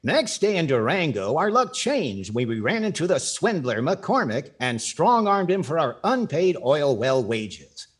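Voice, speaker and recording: A man's voice: on the high side, big pitch swings, measured pace, few pauses, husky, heavily acted. A man acting as a dry, wry comedian host and narrator in scripted 1940s radio comedy. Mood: storytelling.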